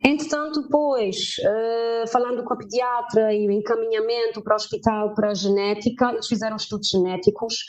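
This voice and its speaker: Woman in her 50s from germany, guest on a video call, kinda high voice, lots of ehs and pauses. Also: steady tone, highs cut off. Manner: halting, many filler sounds. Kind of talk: personal storytelling in interview.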